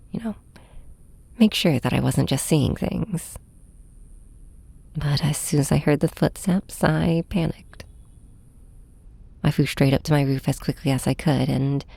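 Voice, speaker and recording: Woman acting a breathy, wounded young superhero. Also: low voice, dry recording, exaggerated voice. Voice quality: soft, breathy. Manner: hesitant, halting, hushed. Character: heartbroken young flying superhero confiding in and snapping at nemesis. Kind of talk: first-person audio drama narration, ASMR hybrid.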